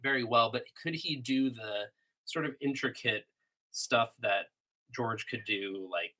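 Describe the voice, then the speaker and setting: A man hosting a casual livestream Q&A about guitar, talking with a slight cold and a sniffly sound. He rambles in a relaxed way, with many ums and restarts, and plays guitar between answers. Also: medium pitch, measured pace, poor audio. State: has a cold, a little sniffly. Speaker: livestream host answering chat questions. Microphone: iMac desktop microphone. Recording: home desktop computer recording. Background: guitar playing between answers.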